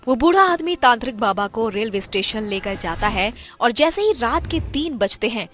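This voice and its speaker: A woman's voice: a little high, lively intonation, moderate pace, a bit bright. A woman narrating a scripted children's horror story.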